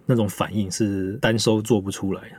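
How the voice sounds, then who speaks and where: A man's voice: lowish voice, fairly even intonation, fairly quick, a little mellow, breathy edge, dry recording. A man with a Taiwanese Mandarin accent, chatting casually as a podcast host and director about audio drama production.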